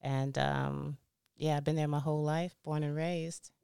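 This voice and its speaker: A woman from Georgia speaking as a podcast guest, soft and unhurried. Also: deep voice, level tone, creaky, clean tone, dry recording, studio quality. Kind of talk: casual interview conversation.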